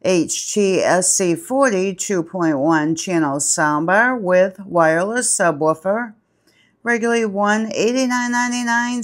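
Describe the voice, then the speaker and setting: A woman hosting her channel, steadily reading product names and sale prices off a printed flyer. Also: mid-range voice. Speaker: channel host.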